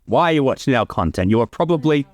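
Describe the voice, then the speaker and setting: A man's voice: mid-range voice, animated, fast talker. Man, mid-range voice, chatty commentator, explanatory, close mic, no room echo. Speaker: commentary host, series creator. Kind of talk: casual creator commentary.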